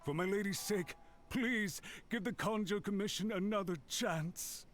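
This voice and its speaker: A man's voice: high-pitched, a little slow, soft background music. A man playing an anxious, contrite official in an anime game, speaking formally and deferentially, as if pleading. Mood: pleading, earnest. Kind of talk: scripted anime game voice acting.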